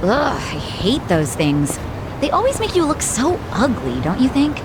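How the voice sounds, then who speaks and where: An actress voicing a spirited, candid, sassy young woman in an English-dubbed video game, with frank, expressive, casual banter. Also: a little high, unhurried, noisy background. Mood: grumbling.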